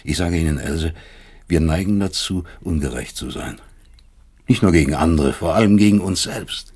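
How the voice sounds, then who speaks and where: A low-voiced, husky man of middle age, a brooding professor in a radio play. He weighs his phrases like arguments, bitter and sardonic, leaning hard on key words. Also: darkish tone. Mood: bitter, reflective. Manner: deliberate, rhetorical, emphatic, sardonic. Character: bitter, brooding East German male doctor and professor. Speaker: actor. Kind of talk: German radio drama acting, dialogue and inner monologue.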